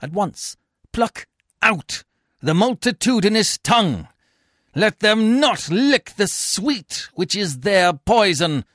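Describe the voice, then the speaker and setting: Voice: mid-range voice, big pitch swings, takes it slowly, fairly bright tone, no echo, studio quality, voice pushed up, heavily acted. A reader voicing a proud, disdainful Roman nobleman in a theatrical Shakespeare read-aloud, stern and declamatory.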